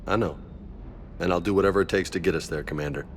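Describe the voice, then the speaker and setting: A man's voice: low voice, fast, slight background noise, natural voice. A man playing a cocky, easygoing young marine in a science fiction video game, casual and slangy. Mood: relaxed.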